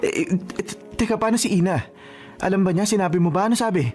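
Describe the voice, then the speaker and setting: A man's voice: sits high, fast, a little mellow, close to an everyday voice. Guy acting smitten young filipino dude, boyish and pleading, radio drama w/ music under. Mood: stunned excitement. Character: eager young man in love, Seth.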